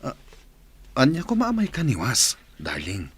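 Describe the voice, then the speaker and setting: Animated easygoing father. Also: medium pitch, a little slow, husky, full character voice. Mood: evasive. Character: easygoing married father, affectionate and evasive. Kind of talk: Ilocano radio drama acting.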